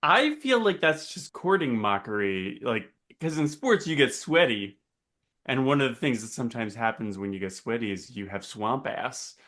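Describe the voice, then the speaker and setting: A man's voice: medium pitch, very animated, moderate pace, fairly bright tone. A man co-hosting a podcast in casual chat, recounting things with little acted-out quotes. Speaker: podcast co-host.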